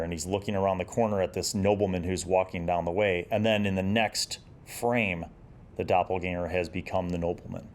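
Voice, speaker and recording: Man, lowish voice, casual review host, enthusiastic, rambling delivery. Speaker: host of a gaming retrospective show. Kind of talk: casual magazine review with read-aloud passages.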